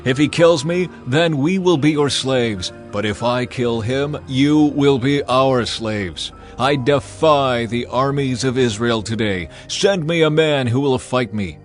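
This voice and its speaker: A man voicing a boastful giant warrior champion, calling out loud taunts with defiance. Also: mid-range voice, measured pace, hardly any pauses, soft background music, natural voice. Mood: defiant.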